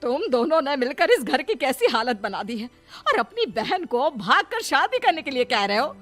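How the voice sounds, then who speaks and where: A woman's voice: high voice, very animated, normal speed, a bit bright, faint music behind. A woman voicing an outraged older mother scolding her family in a cartoon moral story.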